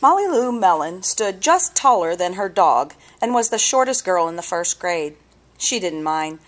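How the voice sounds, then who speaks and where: A woman reading a children's story aloud with deliberate, expressive phrasing and dramatic pauses. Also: lowish voice. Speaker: storybook reader.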